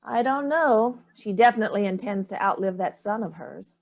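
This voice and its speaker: A woman's voice: medium pitch, animated, moderate pace, creaky. An older woman playing a haughty, evasive elderly mother in a staged play reading, dismissive and theatrical with barbed remarks. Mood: breezy, defensive.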